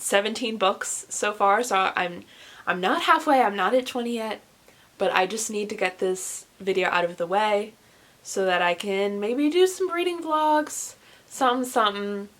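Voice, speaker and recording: Young woman, late teens, book vlogger, casual chat, rambling, self-deprecating, animated asides, medium pitch. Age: young adult, late teens.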